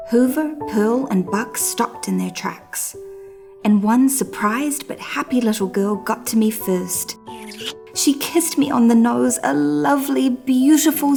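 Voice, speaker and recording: A woman's voice: on the high side, slow pace, vocal fry, soft background music, voice pushed up. A woman voicing a dramatic, anxious little male hamster telling his diary in a children's storybook. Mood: relieved, delighted. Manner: melodramatic storytelling with hushed suspense and emphatic exclamations.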